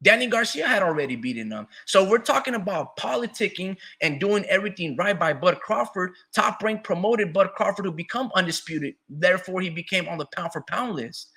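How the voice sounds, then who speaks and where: A man hosting a boxing talk show, arguing in an animated, profane way while losing his voice a little. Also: high-pitched, fairly quick, few pauses. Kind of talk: heated casual boxing debate.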